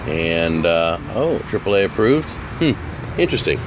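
A man's voice: low voice, sing-song. A man in his forties hosting a casual food vlog, chatty and rambling, filmed on a handheld camera in a busy barbecue restaurant. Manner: chatty, rambling, quick laughs. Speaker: food show host. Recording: loud crowded restaurant noise.

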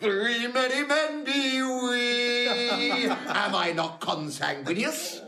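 Roguish old knight, drunk and boisterous, high-pitched gravelly voice, slow theatrical delivery in a roomy echo. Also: male voice, a crowd in the background, full character voice. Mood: drunkenly merry. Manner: boisterous, chuckling. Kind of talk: theatrical Shakespearean audio drama.

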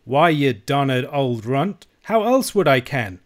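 A bold Highland man with a Scottish accent, put on by a male narrator for an audiobook. He bargains in a blunt, forceful way. His delivery is sly and taunting, with a sneer in it. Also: animated, normal speed, close to an everyday voice. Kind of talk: audiobook character voice.

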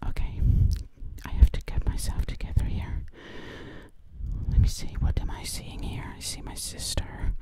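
A whispering ASMR roleplay voice, asking frightened questions to itself and repeating single words over and over. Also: moderate pace. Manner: frightened, breathless questions to themselves.

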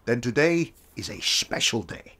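A kindly older photographer from Denmark in a period audio drama. He is polite and gentle, easing his client into a portrait sitting. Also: mid-range voice, animated, measured pace.

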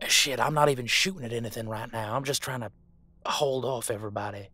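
A gruff veteran hunter acted by a man from a supernatural audio drama. He is scornful and bossy in a folksy way, with a tense, bright, mid-pitched voice. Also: a little fast. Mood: irritated. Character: gruff veteran male monster hunter, profane, scornful boss.

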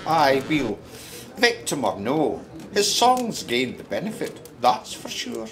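Swooping, chatty Scottish storyteller. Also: male voice, mid-range voice, huge pitch swings, takes it slowly, a crowd in the background, exaggerated voice. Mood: wry, musing. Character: wry, sociable Scotsman reminiscing about a poet friend. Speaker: narrator. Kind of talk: conversational radio drama narration.

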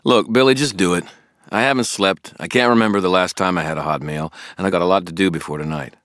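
A man playing a worn-out, short-tempered man with a very deep voice. He answers in curt, grumbling lines with a dry wryness, read close and dry. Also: animated, moderate pace, fairly bright tone, dry recording, heavily acted. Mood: irritated. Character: weary, short-tempered grown man, gruff and wry.

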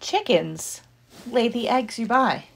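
A woman reading a children's picture book aloud like a teacher, with deliberate, expressive phrasing for young listeners. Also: on the high side, gravelly, slightly rough.

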